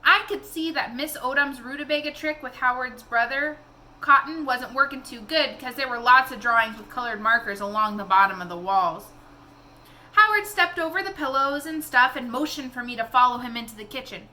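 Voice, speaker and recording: A woman reading a children's novel aloud in an expressive storytelling style. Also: high voice, moderate pace, a little hiss or hum, poor audio.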